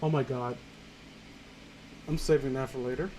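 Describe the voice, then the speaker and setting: A man reading fan fiction aloud casually, breaking off with sarcastic, halting, sweary commentary. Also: medium pitch, steady tone, mellow, slight background noise, rough recording.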